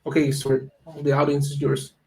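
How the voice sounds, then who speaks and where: A man's voice: medium pitch, monotone, moderate pace, creaky, faint music behind. A man hosting a live online meetup, handing over to the speaker with brief polite remarks. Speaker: meetup host.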